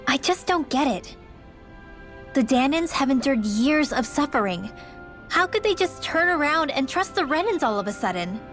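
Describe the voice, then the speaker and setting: A hot-tempered teenage girl in a fantasy game. She is high-pitched and insistent, swinging widely in tone as she voices her distrust, with music behind. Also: sing-song, normal speed, a bit bright. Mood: indignant. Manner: indignant, insistent. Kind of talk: video game dialogue acting.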